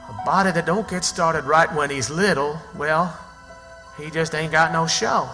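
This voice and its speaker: A man's voice: on the high side, pushed and bright, long reverb tail. An actor playing a folksy, earnest runaway country boy in radio drama, with an American Southern accent and rustic, ungrammatical speech.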